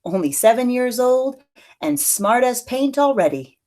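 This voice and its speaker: A woman's voice: fairly high pitch, big pitch swings, unhurried, dark tone, voice pushed up. A woman voicing an affectionate, sly grandmother in a picture-book story, putting on a vague, reassuring manner. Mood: fond, proud.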